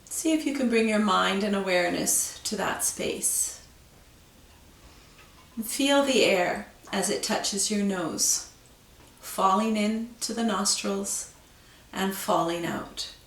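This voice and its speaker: A woman teaching yoga, guiding a breathing meditation with slow, calm, soothing instructions. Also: medium pitch, creaky, echoey room, recorded from a distance.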